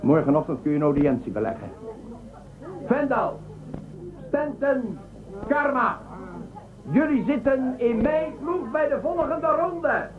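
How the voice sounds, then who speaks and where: An actor playing an arrogant, imperious emperor of a space empire in a radio drama, scornful and commanding. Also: male voice, very high voice, sing-song, unhurried, pauses often, distant mic, narrow, tinny audio, full character voice. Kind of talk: Dutch radio drama acting. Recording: thin band-limited radio recording, crowd behind.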